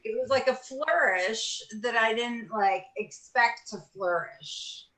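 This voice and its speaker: Bright-voiced woman comic guest. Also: on the high side, slow pace, poor audio. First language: Farsi. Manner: loose, filler-heavy storytelling. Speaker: comedian guest. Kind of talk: casual chat.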